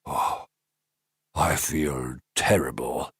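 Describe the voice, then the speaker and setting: A man voicing a weak, ill king who talks feebly in a low, quiet voice. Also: sing-song, slow, husky, dry recording, clean studio sound, exaggerated voice. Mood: weak, ailing.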